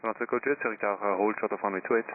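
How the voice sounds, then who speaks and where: A male air traffic controller speaks very briskly in clipped, procedural phrases, reeling off numbers. His voice sits fairly low, squeezed through a thin radio channel with light static. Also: fairly even intonation, phone-quality sound. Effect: radio transmission.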